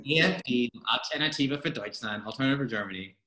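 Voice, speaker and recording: A man's voice: medium pitch, animated, fast, bright, forceful tone, roomy sound, slight background noise. An American man chatting casually as a guest, telling stories animatedly and laughing often. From United States. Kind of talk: casual chat. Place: home in Berlin.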